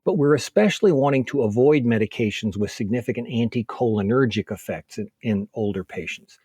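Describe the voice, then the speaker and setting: A man speaking as a medical expert in an interview, explaining clinical guidance in a measured, methodical way. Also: on the low side, a little mellow, slightly rough, no room sound, studio quality.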